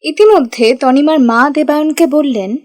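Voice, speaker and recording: A woman's voice: sits high, moderate pace, a little mellow. A woman narrating a scripted audio love story.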